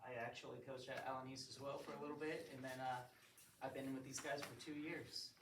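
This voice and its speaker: A man speaks in an ordinary voice, brief and matter-of-fact, as a junior varsity coach. He sounds distant in a reverberant hall. Clear background noise runs under him. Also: medium pitch, little pitch movement, brisk. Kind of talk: casual presentation. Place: school board meeting room. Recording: echoey hall from far off with noise.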